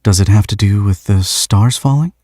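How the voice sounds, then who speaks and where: A man voicing a calm, alluring female demon who speaks softly and formally. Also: fairly low pitch, dry recording, studio quality, lightly acted. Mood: curious. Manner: soft, measured, formal speech without contractions.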